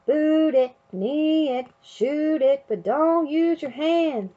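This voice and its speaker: Woman as an eager little boy frog, high-pitched. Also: slow, mellow, highs cut off. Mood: singsong glee. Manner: exclaiming and singsong chanting.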